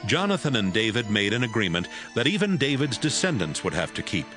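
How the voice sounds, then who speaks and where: Man, low voice, lively varied intonation, dramatized scripture narration, music clearly underneath. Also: a little fast.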